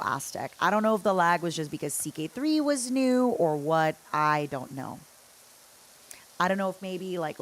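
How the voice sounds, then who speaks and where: Lady streamer just chatting while gaming, kinda low voice, rambly and playful. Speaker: livestreamer, host. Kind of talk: casual chat while gaming, answering chat.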